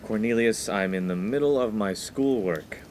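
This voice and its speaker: A man's voice: fairly low pitch, normal speed, a little mellow, slight background noise, lightly acted. A man playing a studious, proper young college freshman in a comic radio play, earnest and easily exasperated. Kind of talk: 1950s-set comic radio play acting.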